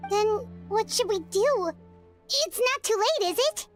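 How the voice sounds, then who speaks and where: Paimon, a squeaky chirpy fairy companion. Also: female voice, lively intonation, faint music behind. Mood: curious. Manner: speaks of herself in third person; eager, quick to jump in. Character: tiny floating female fairy-like companion, chirpy, excitable.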